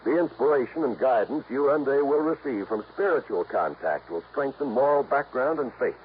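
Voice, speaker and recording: An American man working as a radio announcer, delivering a 1950s-style public-service message in an earnest, measured, formal manner. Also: medium pitch, lively intonation. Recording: tinny, faintly noisy old radio broadcast.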